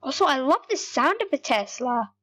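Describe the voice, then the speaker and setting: High-voiced woman narrating gameplay. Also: normal speed. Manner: excitable, rambling, talks to viewers. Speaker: gaming YouTuber. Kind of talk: casual gameplay commentary.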